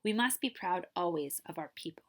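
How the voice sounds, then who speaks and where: A woman librarian reading a picture book aloud at a steady storytelling pace, now and then stopping for a short aside to her listeners. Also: lowish voice, fairly quick.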